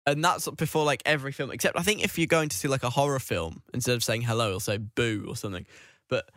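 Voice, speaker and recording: A man's voice: mid-range voice, fast talker, a bit bright, no echo, clean studio sound. A man presenting a radio show in a casual, rambling, tongue-in-cheek conversational way.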